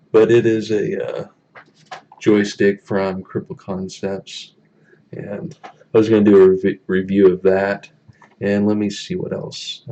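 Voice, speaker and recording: A young man with a deep voice chatting casually on a live stream from a small room, halting with many ums as he reads the chat. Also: gravelly, dark tone, muffled recording, a little hiss or hum. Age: young adult.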